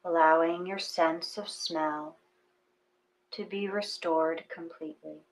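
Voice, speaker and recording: A woman reading a guided meditation in a gentle, unhurried manner with long pauses between phrases. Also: lowish voice, steady tone, poor audio.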